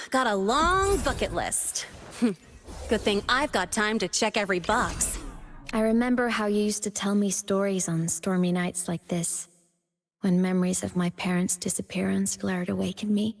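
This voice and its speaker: A woman voicing a young student turned digital ghost in a video game, cheerful yet wistful and devoted, with a soft, earnest delivery. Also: medium pitch, unhurried, lightly acted. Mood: playful, then wistful, tender. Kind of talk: scripted video game character monologue. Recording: long reverb on the voice.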